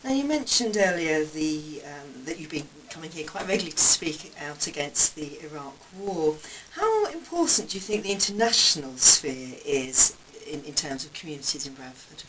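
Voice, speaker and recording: Very low-pitched woman interviewer, off-mic. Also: very animated, moderate pace, few pauses, a bit bright, distant mic, low-quality recording. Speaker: radio interviewer. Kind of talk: interview questioning. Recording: off-mic, faint background noise.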